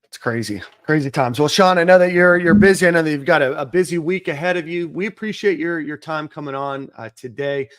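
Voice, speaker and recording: A man's voice: a little high, a little fast, hardly any pauses, fairly bright tone. A man hosting a sports podcast, talking casually with many uhs and some self-mockery. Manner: filler-heavy, uh-laden, self-mocking. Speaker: podcast host.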